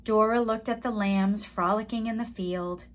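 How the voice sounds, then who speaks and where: A woman storyteller reading a children's story aloud in an expressive, deliberate manner. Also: mid-range voice.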